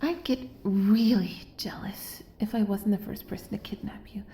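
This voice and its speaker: A woman's voice: mid-range voice, steady tone, moderate pace, gravelly, roomy sound, lightly acted. A woman playing an obsessive, lovestruck kidnapper in an intimate audio roleplay, doting and possessive, coaxing the listener with hesitant asides. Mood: hushed, intimate. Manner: soft, coaxing, hesitant asides, drops into whispers.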